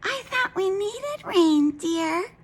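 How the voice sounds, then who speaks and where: A woman voicing a frantic, flustered farm wife in a storybook, with a shrill, quavering, slowed voice. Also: animated, full character voice.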